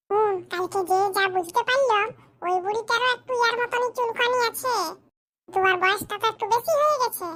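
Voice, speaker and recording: A performer voicing a squeaky, indignant young man in a comedy cartoon, bickering. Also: sharp tone. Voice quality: squeaky, artificially high voice. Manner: scolding, bickering, rhetorical questions. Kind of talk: exaggerated comic cartoon dialogue in rural dialect.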